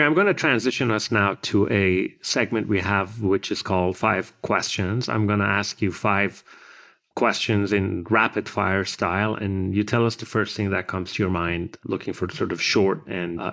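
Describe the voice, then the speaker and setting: A male podcast host asks his questions in a brisk, friendly way. His voice sits low and has a gravelly edge. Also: fairly bright tone. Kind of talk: interview hosting.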